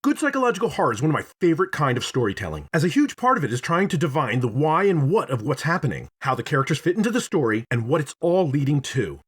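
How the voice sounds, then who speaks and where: A man narrates a scripted game review in a husky, slightly high voice. His pitch moves around a lot, and he phrases things dramatically and playfully, stretching words for emphasis. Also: big pitch swings, fast talker, few pauses. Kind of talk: scripted video essay narration.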